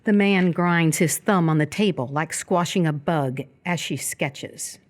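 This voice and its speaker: A woman's voice: lowish voice, measured pace. A woman reading scripted stage directions as the narrator of a live radio theatre piece. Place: live stage performance before an audience.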